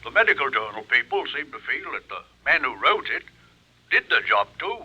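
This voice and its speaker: Clipped british police sergeant, really sharp bright voice, animated, old radio drama. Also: male voice, medium pitch, brisk, slightly rough, highs cut off, heavily acted. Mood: businesslike. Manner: clipped, deferential, official.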